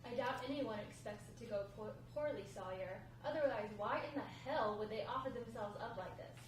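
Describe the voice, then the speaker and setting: A woman's voice: fairly high pitch, a little fast, lightly acted. Woman reading as a worried wife, very echoey room. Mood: doubtful, challenging. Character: Lauren, worried, doubting wife of a gang recruit. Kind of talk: script table read. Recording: very echoey room, distant mic, faint noise.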